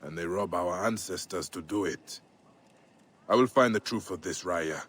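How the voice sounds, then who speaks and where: A man's voice: low-pitched, normal speed, fairly bright tone, slightly rough. A man acting a solemn, terse Egyptian avenger in a video game cutscene. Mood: grave, investigating. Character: brooding ancient Egyptian male protector and avenger.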